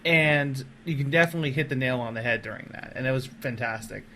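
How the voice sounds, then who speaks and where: A man's voice: medium pitch, quick pace, bright, forceful tone, a little hiss or hum. A man hosting a casual podcast, talking in an effusive, wordy way as he interviews his guests.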